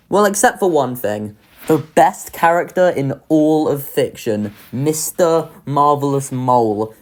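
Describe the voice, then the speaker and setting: Low-pitched woman with lively, casual delivery. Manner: informal, sardonic, swears. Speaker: video host ranking children's shows. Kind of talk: casual opinionated video commentary.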